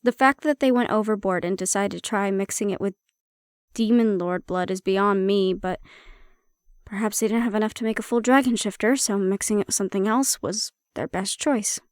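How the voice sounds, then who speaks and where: A woman playing a prickly but secretly caring handler in an intimate fantasy audio roleplay, speaking in a wry, measured, matter-of-fact way. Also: mid-range voice, no echo, studio quality, lightly acted. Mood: musing, matter-of-fact.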